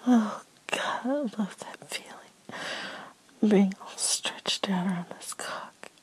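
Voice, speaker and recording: An adult woman giving an intimate, whispered first-person erotic narration in a breathy voice, drawn out and broken by moans. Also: mid-range voice, fairly even intonation, takes it slowly, low-quality recording.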